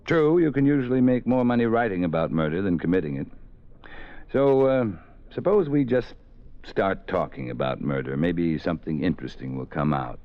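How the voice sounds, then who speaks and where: A man's voice: low voice, animated, moderate pace. A man playing a sinister writer who toys with a frightened woman at gunpoint, in a 1940s radio drama, on a dull old lo-fi recording. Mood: probing. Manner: sinister, toying, swings from soft to sudden shouts. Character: menacing male writer holding a woman at gunpoint.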